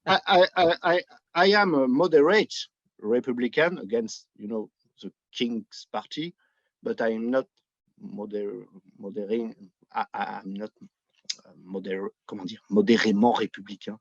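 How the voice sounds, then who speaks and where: Dull-sounding recording of a halting Frenchman. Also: on the low side, fairly even intonation, dry recording. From France. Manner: halting, searching for words in a second language. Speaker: interview guest, editor. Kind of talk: conversational political explanation. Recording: dull, band-limited audio.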